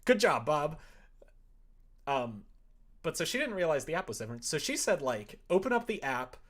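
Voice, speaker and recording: A man's voice: fairly high pitch, very animated, moderate pace, a bit bright. A man telling a rambling anecdote in casual livestream chat, acting out exchanges with an exasperated, storytelling manner. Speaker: live streamer.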